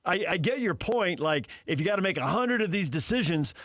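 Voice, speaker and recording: An American man talks as a sports radio host in a fairly high voice. He argues off the cuff, stacking repeated phrases to build a point. The sound is dry, with no room on it. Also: animated, a little fast, fairly bright tone, dry recording. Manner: builds points through repetition. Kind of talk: unscripted sports talk debate.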